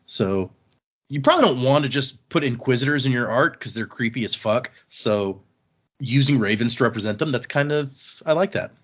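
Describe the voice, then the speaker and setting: A man's voice: medium pitch, animated, moderate pace, fairly bright tone. Casual podcast guy, slightly muffled sound. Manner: conversational, swearing, acts out characters' dialogue casually. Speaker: podcast host recapping a novel. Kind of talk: casual book recap chat.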